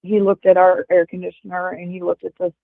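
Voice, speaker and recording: A woman's voice: lowish voice, level tone, a little fast, vocal fry, no room sound. A woman in her forties, a livestream guest chatting casually over earbuds, rambling with lots of fillers. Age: 40s. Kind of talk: casual chat. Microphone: earbuds over a call. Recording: tinny phone-like call line.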